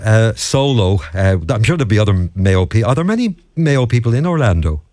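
A man's voice: on the low side, sing-song, a little fast, a little mellow, no room sound. A man hosting a radio talk show from a studio, chatting with callers and reading out listener messages. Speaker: radio presenter.

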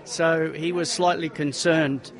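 A man being interviewed about his racing career, telling the story in a lively, stumbling way and acting out what people said. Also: a little high, takes it slowly, low-quality recording. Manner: chatty, animated, stumbling restarts, acts out quotes. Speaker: racing driver guest.

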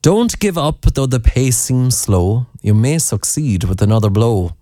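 A man's voice: mid-range voice, very animated, a little slow, dark tone, no echo, clean studio sound. An Irish man presenting a radio programme, chatting in a rambling way with fillers and self-corrections, and reading out a listener's poem. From Ireland. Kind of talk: late-night radio chat and poem reading.

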